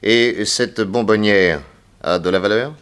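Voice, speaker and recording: A man playing a grave, persuasive detective in a 1960s French radio serial, formal, deliberate and insistent. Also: fairly low pitch, a bit bright, clean tone. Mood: inquisitive, assured. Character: grave, persuasive male detective, solemnly warning a young woman.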